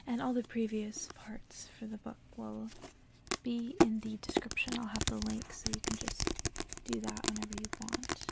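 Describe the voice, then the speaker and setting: A woman whispering close to the mic in a hushed, soothing ASMR style, as if reading and chatting someone to sleep. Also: a little high, flat delivery, creaky. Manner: hushed whispering, soothing slow repetition of phrases. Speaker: ASMR host and book reader. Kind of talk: whispered ASMR, casual chat and bedtime story reading. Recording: faint background noise.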